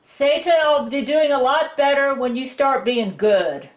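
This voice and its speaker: Woman calling in, earnest, giggles a lot, slightly high voice, echoey. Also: lively intonation, a little slow. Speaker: caller. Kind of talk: casual chat. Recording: echoey call audio.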